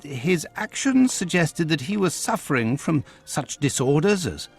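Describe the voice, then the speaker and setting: An actor with a British accent playing an earnest, courteous gentleman physician in a video game, speaking formally. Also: a little high, sing-song, measured pace, vocal fry, soft background music, full character voice. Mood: explaining.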